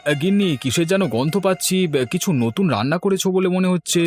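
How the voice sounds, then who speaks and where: A man's voice: high voice, lively intonation, quick pace. A man voicing a kindly, food-loving village husband in a cartoon story, exclamatory and folksy. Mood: curious, eager. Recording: music under the voice.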